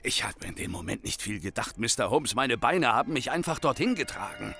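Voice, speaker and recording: An actor playing a nervous, desperate young thief in a radio play, anxious, stammering and breathlessly pleading. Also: male voice, mid-range voice, animated, brisk, pushed and bright, soft background music. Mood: shaken, confessing. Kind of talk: German radio play acting.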